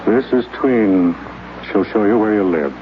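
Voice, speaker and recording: An actor plays a kindly, world-weary male space-station official who speaks in a dry, wry, gently probing way in a 1950s radio drama. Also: on the low side, a little slow, close to an everyday voice. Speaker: narrator and station supervisor. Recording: dull lo-fi radio with clear hiss.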